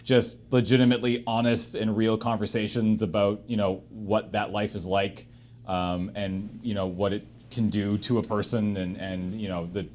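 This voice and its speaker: A man hosting a casual unscripted discussion, speaking haltingly and emphatically and repeating phrases for stress. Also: on the low side, little pitch movement, a little mellow. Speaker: host of a panel discussion. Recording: clear room noise behind.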